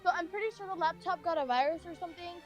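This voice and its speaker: A woman's voice: extremely high pitch, racing, dull audio, soft background music. A young American woman telling a personal story casually, in a rambling way peppered with "like". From California, United States. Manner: rambling, heavy use of filler "like".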